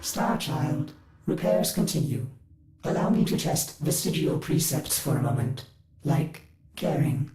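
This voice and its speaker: Stilted formal robot mind for a game, swoopy highish voice, caring underneath, echoey. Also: male voice, big pitch swings, unhurried, music in the background. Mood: gently concerned. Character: quirky male machine mind, formal yet caring. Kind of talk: video game character dialogue. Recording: very echoey with background noise.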